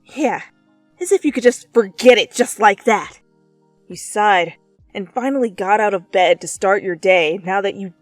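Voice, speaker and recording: A woman reading a story aloud as dramatic, expressive narration, addressing the listener as the main character. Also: mid-range voice, no echo. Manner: theatrical, expressive. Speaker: fan fiction reader.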